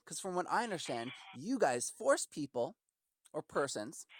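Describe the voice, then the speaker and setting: A man's voice: on the high side, big pitch swings, normal speed, no echo, clean studio sound. A man arguing in an impassioned, rambling way as a caller, sounding aggrieved and preachy, with heavy emphasis. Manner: emphatic, aggrieved, preachy, acts out imagined quotes. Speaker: caller to customer service. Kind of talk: impassioned rambling argument on a phone call.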